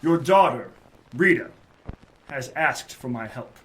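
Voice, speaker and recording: A man playing a hardboiled private investigator in a noir audio drama, with a wry, measured delivery. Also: mid-range voice, lively intonation, rough recording. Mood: businesslike, probing. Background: music under the voice.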